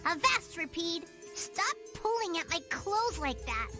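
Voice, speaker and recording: A woman's voice: very high-pitched, very animated, a little slow, pushed and bright, exaggerated voice. A woman voicing a spirited, cocky young pirate girl in a video game. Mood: startled, bold. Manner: pirate-style exclamations, bold. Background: background music.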